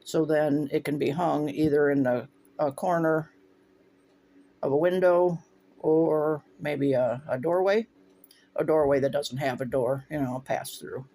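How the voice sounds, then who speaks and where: Gravelly man demonstrating glasswork. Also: fairly high pitch, unhurried. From Northwest Ohio, USA. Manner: relaxed, talks while working, thinks aloud. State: runny nose, voice briefly faltering. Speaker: stained glass instructor. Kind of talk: casual workshop demonstration. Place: home glass studio with windows open.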